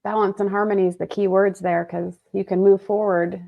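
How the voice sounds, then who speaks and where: A woman's voice: mid-range voice, flat delivery, brisk, creaky. A woman co-hosting a podcast, speaking thoughtfully and agreeably in casual chat. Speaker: podcast co-host. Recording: tinny phone-like line.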